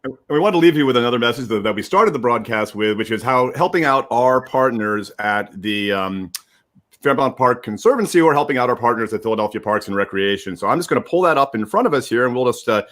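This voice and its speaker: An American man hosting an informal live broadcast, speaking haltingly and repeating words as he gives announcements. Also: medium pitch, animated, a bit bright. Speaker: host.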